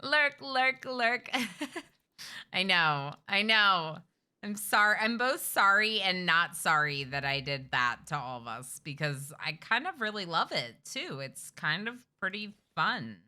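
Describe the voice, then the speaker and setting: Lively, bright-voiced woman streamer. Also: mid-range voice, takes it slowly, clean tone. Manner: chatty, talks to her game characters, excitable. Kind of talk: live gameplay commentary. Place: at home with her cat.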